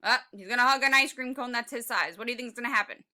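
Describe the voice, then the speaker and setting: A woman reading a story aloud as a teacher, expressive and encouraging, pausing to put questions to the children. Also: on the high side. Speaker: second grade teacher.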